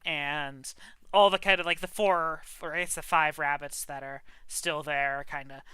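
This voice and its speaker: Bright, husky game master. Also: mid-range voice, fairly even intonation, measured pace, dry recording. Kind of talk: casual tabletop game narration.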